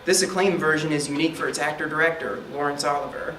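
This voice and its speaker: Male classic-film TV presenter, played by an amateur, higher-pitched, formal scripted delivery, distant noisy room. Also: steady tone, fast talker, pushed and bright. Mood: formal, earnest. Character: TV classic-movie host, male, formal and earnest. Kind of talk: scripted TV movie introduction. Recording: distant, echoey room with background noise.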